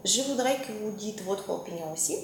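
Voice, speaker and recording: A woman's voice: mid-range voice, animated, a little fast, roomy sound, a little hiss or hum. A woman acting as a clinic coordinator who interviews a couple, speaking in a hesitant way with many fillers.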